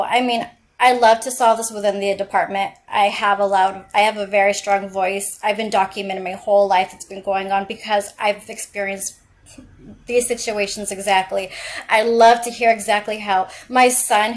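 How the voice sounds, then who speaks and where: A woman calling to file a complaint on a recorded phone call, speaking in an insistent, persistent way. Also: mid-range voice. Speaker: caller filing a complaint.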